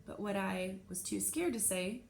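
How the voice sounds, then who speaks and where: A woman's voice: medium pitch, lively intonation, normal speed, creaky, slight background noise. A woman reading a children's novel aloud expressively, narrating in the first person. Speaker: storybook reader.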